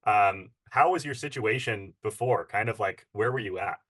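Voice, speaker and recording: A man's voice: on the low side, normal speed, fairly bright tone. A man hosting a casual interview as a fitness coach, upbeat and encouraging as he asks his questions.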